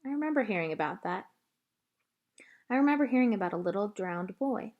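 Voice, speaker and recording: Woman playing a folksy, kindly older woman, lively intonation. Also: mid-range voice, fairly quick, muffled recording, natural voice. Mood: gentle, reflective. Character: kindly, wry older Southern woman, firm.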